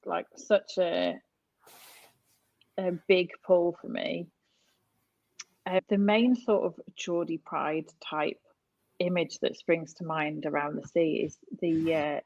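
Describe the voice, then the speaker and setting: A woman with a low voice and a creaky, gravelly edge talks casually as a podcast guest. She is from North West England. The sound is close and dry. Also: steady tone, slow, pauses often, a little mellow, no echo, muffled recording. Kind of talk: casual interview chat.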